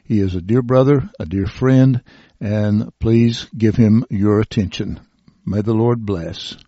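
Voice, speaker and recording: Deep, slow church host. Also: male voice, mellow, no room sound, dull audio. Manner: slow, formal introduction. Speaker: church host introducing the speaker.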